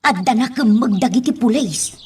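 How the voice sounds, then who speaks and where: A woman's voice: a little high, moderate pace, darkish tone, a little hiss or hum, close to an everyday voice. A woman acting expressively in an Ilocano radio drama. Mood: worried. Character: female household figures and a demanding town mayor.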